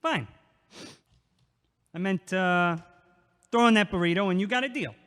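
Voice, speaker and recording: A man's voice: high voice, unhurried, fairly bright tone, clear, resonant tone. Guy acting a crabby sarcastic neighbor dude in an audio play, piercing voice, big echoey hall. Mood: grudging, sulky. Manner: brash, sarcastic, grumbling. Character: crude, irritable, mocking male neighbor. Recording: echoey hall with long reverb.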